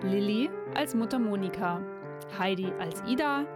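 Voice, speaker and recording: A woman with a medium-pitched voice presents a radio show from a script. Loud guitar music plays behind her. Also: animated, moderate pace.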